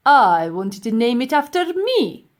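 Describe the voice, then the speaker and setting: A woman's voice: fairly high pitch, very animated, measured pace, pitched above the performer's own voice. A woman voicing a pompous, boastful old male professor in a children's storybook, scornful and grandly emphatic. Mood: indignant.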